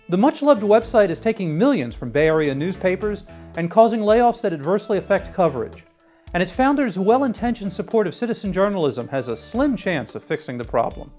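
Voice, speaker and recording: Male video essay host, scripted commentary narration, animated intonation, music underneath. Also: high voice.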